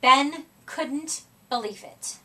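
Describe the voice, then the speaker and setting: A woman reading a children's picture book aloud expressively, with deliberate dramatic emphasis and stretched-out words. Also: high voice, pushed and bright, distant mic. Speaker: storybook reader.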